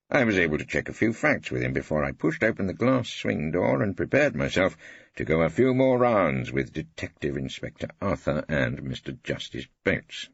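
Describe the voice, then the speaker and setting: Male audiobook narrator, wry and theatrical, husky, close dry sound on a slightly dull recording. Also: low voice, dry recording. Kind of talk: scripted first-person storytelling as a barrister.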